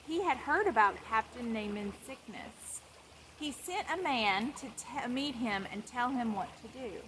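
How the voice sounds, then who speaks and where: A woman's voice: a little high, a little slow, rough recording. A woman reading a Bible story aloud for children, explaining it simply and repeating phrases. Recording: clear steady background noise.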